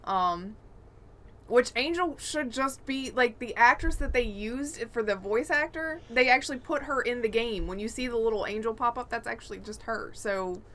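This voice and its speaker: A woman with a very bright, forward voice pitched on the high side. She chats casually as a podcast co-host and gives her opinions bluntly. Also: moderate pace. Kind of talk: casual chat about games and films.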